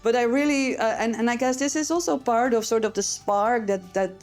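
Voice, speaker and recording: A woman's voice: a little high, brisk, a little mellow, faint music behind. A middle-aged woman with a Dutch accent, speaking enthusiastically and emphatically as a guest in a conversational interview. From Netherlands. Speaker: interview guest, geothermal industry professional.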